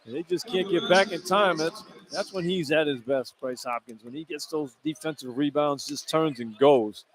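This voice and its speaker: A man with a somewhat high voice offers color commentary on a live basketball broadcast. He is conversational, reacting and explaining in short bursts, with arena noise around him. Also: normal speed. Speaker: radio color analyst. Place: indoor arena at a live game.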